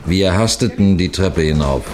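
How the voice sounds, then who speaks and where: Deep-voiced seasoned police detective. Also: male voice, expressive, noisy background. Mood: grave, suspenseful. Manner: measured, precise, formal. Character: seasoned male police detective telling his cases, composed, authoritative. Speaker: narrator. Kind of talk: 1960s radio crime drama narration.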